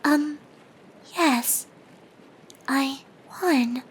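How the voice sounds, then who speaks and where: A woman voicing a timid, gentle cartoon pegasus pony, soft-spoken, hesitant and easily embarrassed. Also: high-pitched, drawn-out words, voice pushed up, poor audio. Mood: shy, hesitant. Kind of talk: character voice in fan fiction reading.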